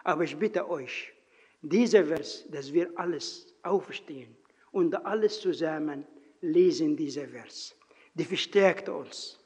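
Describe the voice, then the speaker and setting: A man with a non-native German accent preaching earnestly in a church, telling a personal story in halting phrasing and then praying. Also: fairly high pitch, a little mellow, husky. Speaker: lay preacher. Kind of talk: sermon with personal story and prayer. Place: church hall. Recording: reverberant hall, dull lo-fi sound.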